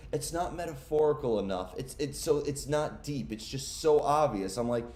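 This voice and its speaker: A man's voice: mid-range voice, darkish tone. A male interviewer asking long, rambling questions, packed with "uh" and "you know.". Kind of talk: casual interview. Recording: echoey room.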